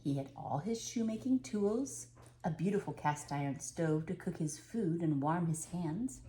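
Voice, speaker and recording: Older woman reading with lively, swooping intonation. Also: lowish voice. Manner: unhurried, expressive storytelling with dramatic pauses. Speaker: storyteller reading aloud. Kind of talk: children's storybook read-aloud.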